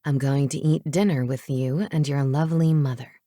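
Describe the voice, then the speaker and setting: Woman voicing a low-pitched, domineering teenage boy, coolly menacing. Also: steady tone, moderate pace, mellow, no echo, clean studio sound. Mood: light, mocking. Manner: commanding, curt, sarcastic.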